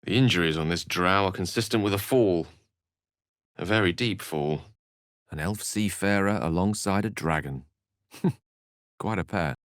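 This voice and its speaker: A man's voice: low voice, a little slow, long pauses, dry recording, studio quality. A male actor playing an erudite, wry wizard in a fantasy video game, articulate and theatrical, with a British accent and a streak of melancholy. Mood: scholarly, musing.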